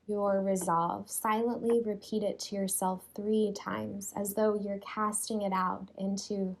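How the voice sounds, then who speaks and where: A woman leading a guided yoga relaxation in a soothing, unhurried way, leaving long pauses between phrases. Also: medium pitch, flat delivery. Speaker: yoga nidra teacher.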